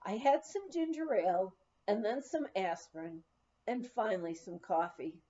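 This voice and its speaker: A woman reading a humorous first-person memoir aloud as an audiobook, with animated, expressive storytelling. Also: medium pitch, moderate pace, highs cut off. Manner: animated storytelling, acting out quoted dialogue. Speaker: audiobook reader.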